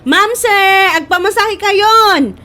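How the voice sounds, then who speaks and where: A woman's voice: extremely high pitch, takes it slowly, over music. A woman playing a hardworking young mother and dutiful daughter in a radio comedy-drama. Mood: busy, brisk.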